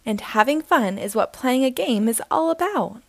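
A woman hosting a storytime, reading a children's picture book aloud slowly and expressively. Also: a little high, no room sound. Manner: slow, expressive, sing-song reading.

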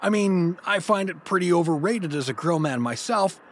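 A man's voice: high-pitched, lively intonation, moderate pace, slight background noise. A man playing a hapless, nervous, wry everyman hero in a comedic fantasy audio drama, with an incredulous conversational manner.

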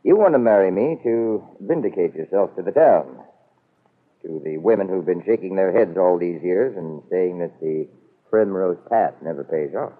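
Proud, sardonic middle-aged father, very low husky voice with lively swings, old radio sound. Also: moderate pace. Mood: smug, amused. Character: proud, wily middle-aged businessman father, cornered but defiant. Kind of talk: 1940s radio soap opera acting. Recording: muffled old radio broadcast.

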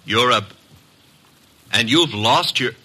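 An actor playing a breezy, slangy detective's sidekick who also narrates, in an old radio drama. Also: male voice, mid-range voice, expressive, takes it slowly, bright, forceful tone, slightly rough, exaggerated voice, low-quality recording. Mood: businesslike, wry. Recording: vintage radio broadcast.

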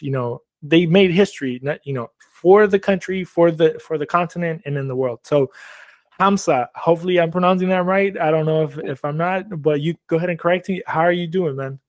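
Guy hosting a laid back interview, kinda high voice, wanders around his questions. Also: no echo. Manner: loose, rambling questions with many fillers. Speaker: podcast host. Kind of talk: casual interview.

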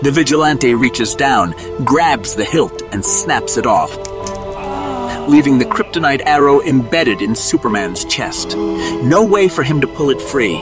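Male audio drama narrator over loud music. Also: medium pitch, animated, measured pace.

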